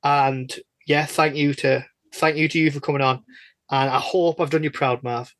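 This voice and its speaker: Guy hosting a casual podcast, voice a bit on the high side, rambly, jokes at his own expense. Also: fairly even intonation, normal speed, a bit bright. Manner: rambling, self-deprecating, jokey. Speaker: guest host and interviewer of a podcast.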